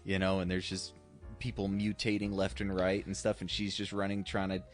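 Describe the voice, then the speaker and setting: Low-voiced man talking film with fannish enthusiasm. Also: completely flat delivery, moderate pace, soft background music. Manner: effusive, profane fan talk. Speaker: podcast co-host. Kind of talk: casual enthusiastic film discussion.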